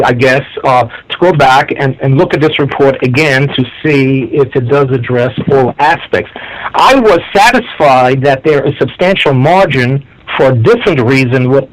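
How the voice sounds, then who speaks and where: A man in a technical discussion on a conference call, speaking as a reviewer with deliberate, hesitant phrasing. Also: mid-range voice, poor audio.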